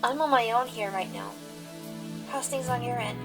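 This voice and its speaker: A woman's voice: fairly high pitch, normal speed, far from the mic, natural voice. A woman playing an earnest, stressed young rabbit police officer turned defense lawyer in an amateur audio drama. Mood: downbeat. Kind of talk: fan audio drama acting. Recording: echoey, off mic, music underneath.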